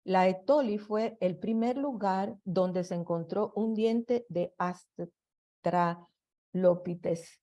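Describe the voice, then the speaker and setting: Slow, halting woman giving a lecture. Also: medium pitch, dark tone. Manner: slow and halting, with restarts and fillers. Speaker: presenter. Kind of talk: slide presentation lecture.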